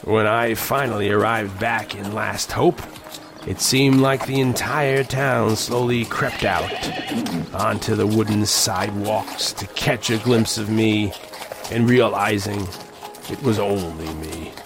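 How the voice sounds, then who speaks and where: A man's voice: fairly low pitch, fairly even intonation, takes it slowly. An actor playing a haunted Old West man, solemnly recounting a horror tale in an audio drama. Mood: somber. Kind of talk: dramatic first-person audio drama narration. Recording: dramatic music under the voice.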